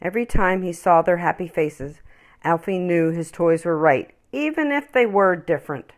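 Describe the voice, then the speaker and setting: A woman reading a children's story aloud with expressive, emphatic, dramatic phrasing. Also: lowish voice, moderate pace.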